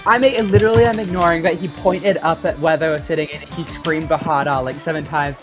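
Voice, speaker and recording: A mid-pitched speaker rambles through retellings in a casual chat, slipping into acted-out quotes along the way. Loud video game music plays behind the voice. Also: fairly even intonation, brisk. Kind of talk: casual chat over gameplay.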